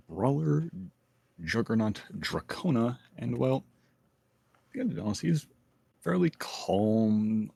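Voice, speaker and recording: A gravelly, husky man speaking as a tabletop player, measured and deliberate. His low voice moves through a lively pitch range, recorded dry and close. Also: no room sound. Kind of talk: casual chat on a voice call.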